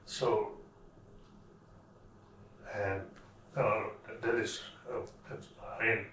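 Slow, halting man in his eighties. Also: on the low side, flat delivery, a bit bright, slight background noise. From Germany. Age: 80s. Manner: halting, searching for words. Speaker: oral history interviewee. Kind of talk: oral history interview. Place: at home. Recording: roomy, from far off.

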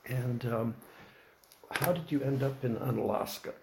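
A man conducts an oral history interview, putting his questions politely and with some hesitation. His voice has a gravelly edge, and faint noise sits under the recording. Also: mid-range voice, unhurried. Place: old building, a former dormitory.

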